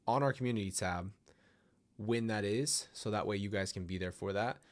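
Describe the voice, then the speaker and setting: A man hosting a casual livestream, a little nasal from a stuffy nose, rambling and apologetic as he talks to his viewers. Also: fairly low pitch. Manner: rambling, apologetic, restarts often. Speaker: livestream host reading viewer stories. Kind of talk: casual livestream chat and story reading.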